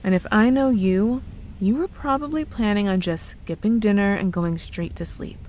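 A woman's voice: mid-range voice, moderate pace, a little mellow, slight background noise, natural voice. A woman playing a cheerful, evasive young girlfriend who is secretly a witch, in a playful ASMR roleplay with feigned innocence. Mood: caring, cozy. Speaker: audio roleplay voice actress. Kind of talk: ASMR roleplay, scripted one-sided dialogue.

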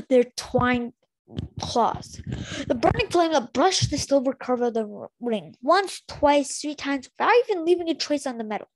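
A woman's voice: high-pitched, rough recording. A woman reading a fantasy novel aloud as an amateur, stumbling over words and correcting herself. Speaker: amateur book reader.

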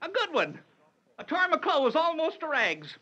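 An actor playing a harassed, excitable, folksy rural constable in a 1940s radio comedy sketch. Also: male voice, very high voice, normal speed, heavily acted. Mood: aggrieved complaining. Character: harassed, exasperated male rural constable chasing a heifer. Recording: dull old radio recording, noisy.

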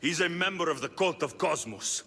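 An actor playing a bold young Spartan warrior with a Greek accent, blunt and accusatory, in a video game. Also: male voice, on the high side, lively intonation, moderate pace, vocal fry, pushed and bright, husky, exaggerated voice. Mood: accusing. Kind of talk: video game cutscene acting. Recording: reverberant hall, some background noise.

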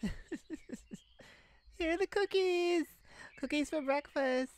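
A woman's voice: very high voice, slow pace, darkish tone. A woman playing a silly, affectionate, giggly and bashful young boyfriend in an ASMR romance roleplay. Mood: gleeful. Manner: giggly, bashful, hesitant, gushing. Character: silly, playful, affectionate young boyfriend surprising his partner. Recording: clearly noisy, ambient sounds behind.

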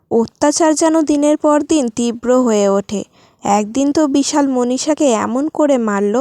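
A woman's voice: a little high, warm tone, no echo, clean studio sound. A woman narrating a scripted cartoon story.

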